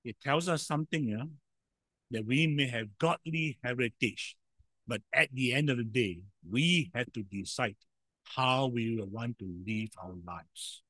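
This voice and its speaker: A man preaching a sermon in a loud voice, emphatic, with sudden swings in volume and frequent rhetorical questions. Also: mid-range voice, dry recording, poor audio.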